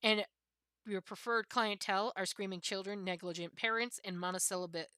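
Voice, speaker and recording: A woman reading humorous prose aloud as a streamer, expressive, with occasional stumbles and self-corrections. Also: mid-range voice, brisk, clear, resonant tone, no echo, studio quality. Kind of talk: reading aloud from a comic book of essays.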